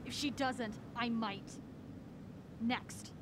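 An actress playing an impulsive, indignant woman caught in a mystery game in an audio drama. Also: extremely slow. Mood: disgusted. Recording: noisy recording with rumble.

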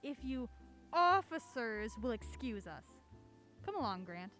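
A woman acting an anxious, sharp-tongued young working woman named Alma in a theatrical old-time radio-style drama, with snappy, dry retorts. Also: a little high, big pitch swings, unhurried, faint music behind. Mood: dismissive.

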